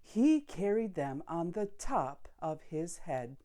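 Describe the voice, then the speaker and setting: A woman reading a picture book aloud for children, expressive and deliberate, with dramatic pauses. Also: on the low side. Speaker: storyteller.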